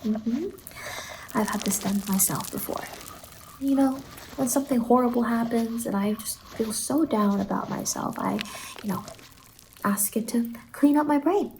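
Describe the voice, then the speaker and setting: A soft-spoken woman doing an ASMR roleplay as a salon worker, soothing and reassuring, often slipping into a whisper. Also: on the high side, a little slow, a little hiss or hum, low-quality recording.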